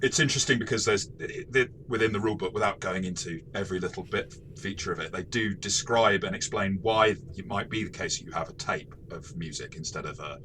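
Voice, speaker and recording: Very deep, bright-voiced man reviewing games. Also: a little hiss or hum. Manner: halting, thoughtful, often rephrasing mid-sentence. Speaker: co-host of a game review show. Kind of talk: casual discussion, tabletop game review.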